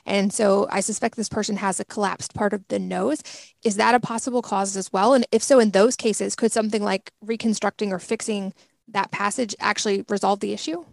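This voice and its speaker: A woman hosting a podcast interview, asking curious follow-up questions. Her voice has heavy vocal fry throughout and sounds very dry and close. She keeps affirming her guest. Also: mid-range voice, fairly even intonation, brisk, dry recording. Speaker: podcast host.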